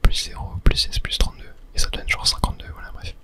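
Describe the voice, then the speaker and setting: A person whispering in a breathy ASMR style while commentating on a video game, rambling and correcting themselves with little mouth-sound fillers. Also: quick pace. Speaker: gaming video host.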